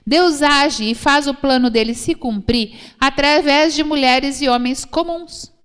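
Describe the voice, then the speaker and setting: A woman's voice: high voice, unhurried, a bit bright. A woman with a Brazilian Portuguese accent preaching a church sermon, explaining scripture didactically and repeating phrases for emphasis. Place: church hall, speaking to a congregation. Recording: reverberant hall sound.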